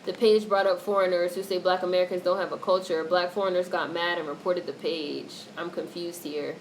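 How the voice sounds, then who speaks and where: A woman's voice: fairly low pitch, fairly even intonation, a little fast. A woman hosting a casual livestream, reading out and answering chat comments in a blunt, opinionated way with free swearing. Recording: noisy livestream audio with background noise.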